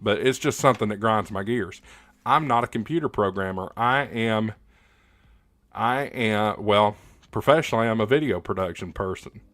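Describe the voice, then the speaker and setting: A man hosting a casual hobby livestream, answering comments in a halting, plain-spoken, opinionated way, often repeating words as he goes. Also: lowish voice, fairly bright tone, no echo. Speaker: livestream host, ham radio hobbyist.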